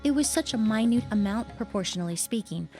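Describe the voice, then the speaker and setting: A woman voicing a calm, analytical female perfumer and researcher in a game. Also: a little high, soft background music. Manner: measured, analytical. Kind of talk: game voice acting.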